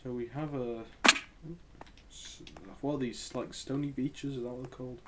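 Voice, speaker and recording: A man's voice: medium pitch, steady tone, unhurried, very noisy. A man losing his voice and coughing, giving casual, rambling gameplay commentary as a gaming channel host. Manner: rambling, hesitant, thinks aloud.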